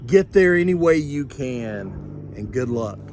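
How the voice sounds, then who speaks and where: A man's voice: mid-range voice, expressive, takes it slowly, a little mellow, slight background noise. An older man voicing an eager, bossy male mouse who captains a baseball team in a storybook, rallying and calling out orders.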